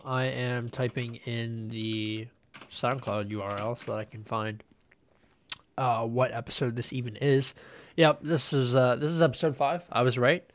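A young man hosting a casual solo podcast, nasal and congested from a cold, rambling loosely with lots of ums and uhs. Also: medium pitch. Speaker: podcast host.

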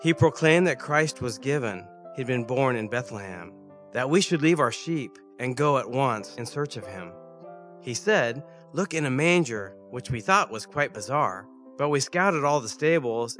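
A man's voice: mid-range voice, lively intonation, measured pace, a bit bright, soft background music. A man voicing an amazed shepherd recounting a story in rhyme. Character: wondering shepherd telling of an angel.

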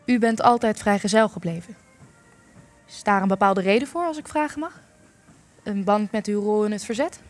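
A woman's voice: medium pitch, moderate pace, pauses often, soft background music, natural voice. An actress playing an earnest young female interviewer in a Dutch radio play, speaking carefully and deferentially. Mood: polite, tentative.

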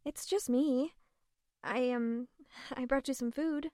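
A woman's voice: high-pitched, slow pace, dark tone, a little hiss or hum. A young woman playing a shy, modest, hesitant nineteen-year-old engineer in an audio drama. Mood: shy, friendly.

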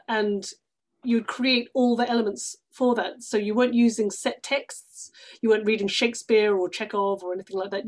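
A woman's voice: mid-range voice, a little mellow. Woman explaining in an interview. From London, England. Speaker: interview guest, stage actress. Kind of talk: casual explanatory interview talk.

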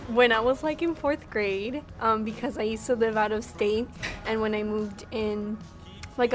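A nineteen-year-old woman, a student, chatting outdoors on campus and filling her talk with "like" and "um". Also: on the high side. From Pennsylvania, USA. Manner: chatty, lots of "like" and "um". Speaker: student interviewee.

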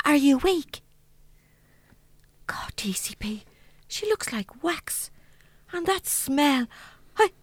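A woman's voice: sits high, no room sound, exaggerated voice. An actress playing a jumpy, blunt young Irish woman in a comedy audio drama. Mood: frightened. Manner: nervy, blunt, salty. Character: jumpy, plain-spoken young Irish woman, easily spooked.

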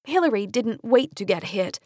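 An American woman reading nonfiction for young readers as an audiobook narrator, measured and carefully articulated, with an expressive storytelling delivery, close on a studio microphone. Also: on the high side, no room sound.

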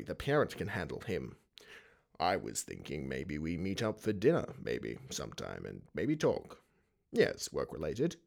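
A man acting a smarmy headmaster in a radio drama, slow and gravelly. He oozes along haltingly, with an insinuating, creepy manner and a pitch that slides about. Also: exaggerated voice.

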